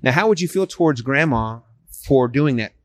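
A man's voice: mid-range voice, animated, moderate pace, no echo, clean studio sound. A man in his forties sharing his faith with a stranger in an earnest, persuasive casual conversation. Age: 40s. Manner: earnest and persuasive, frequent restarts. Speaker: street evangelist.

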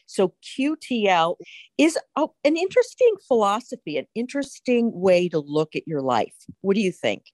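Mature female talk host, emphatic and explanatory, animated intonation, dry studio-style sound. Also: mid-range voice, normal speed, no room sound. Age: middle-aged or older. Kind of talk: conversational interview.

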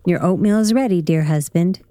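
A woman's voice: on the low side, brisk, dark tone, dry recording, natural voice. A woman playing a wry, fond housewife, dry sound. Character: hardworking middle-aged wife, fond and gently chiding. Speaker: actor in a radio drama. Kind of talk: radio drama acting.

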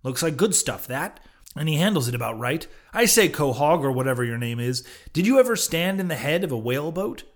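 A man voicing a gruff, blustering old whaling captain in an audiobook, loud, hearty and commanding. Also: a little high, sing-song, brisk. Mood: hearty, excited. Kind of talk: audiobook character voice.

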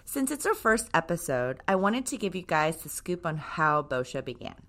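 A woman in her thirties from Japan hosts a podcast in a scripted solo talk. Her voice sits low, but her pitch moves widely, rising and falling with upbeat enthusiasm. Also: very animated, moderate pace, a bit bright. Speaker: podcast host, brand co-creator.

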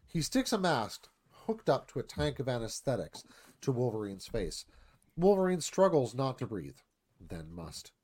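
A man reads screenplay directions as a narrator in a live performance. His voice is mid-pitched and lively, moving up and down with the action. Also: normal speed, slightly rough. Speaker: narrator reading stage directions.

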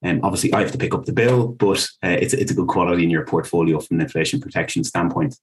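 A man's voice: sits low, fast talker. A man with an Irish accent hosting a finance podcast, asking questions in an easygoing, conversational way. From Ireland. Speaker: podcast host. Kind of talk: conversational interview.

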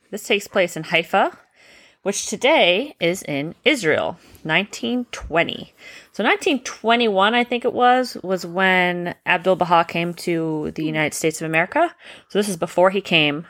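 A woman's voice: lowish voice, moderate pace, a bit bright. A woman reading a children's bedtime story as a parent, explaining and checking with questions.

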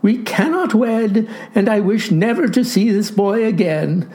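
A woman's voice: medium pitch, lively intonation, measured pace, dark tone, voice pushed up, close to an everyday voice. A woman voicing an affronted, haughty girl of eighteen in florid, melodramatic declarations for a comic story. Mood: affronted, rejecting.

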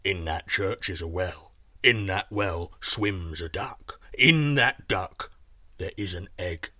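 A monstrous storybook giant, very low and booming, dragging out his words. He is suspicious and gullible, with a soft spot under the menace, and the sound is dry and close. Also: no room sound, heavily acted. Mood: slow, confiding. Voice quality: deep, booming. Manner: slow, heavy delivery.

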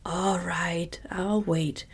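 A woman's voice: lowish voice, fairly even intonation, extremely slow, husky, full character voice. A woman whispering a simple pumpkin-headed man. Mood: earnest. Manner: soft whispered reading.

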